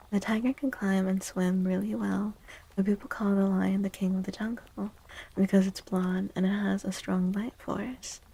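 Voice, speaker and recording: A woman speaking softly and gently in a breathy near-whisper, chatting casually as an ASMR streamer giving readings. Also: mid-range voice, low-quality recording. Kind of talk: soft-spoken ASMR, casual chat.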